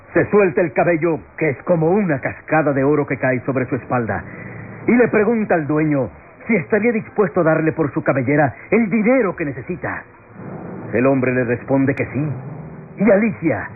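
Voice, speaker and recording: A tinny, noisy old radio recording carries an impassioned defense lawyer in a melodramatic radionovela. His delivery is solemn and declamatory, swinging widely in pitch as he pleads to the jury with rhetorical questions and heavy pauses. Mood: grave, pathetic. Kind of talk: classic radionovela courtroom oratory, melodramatic.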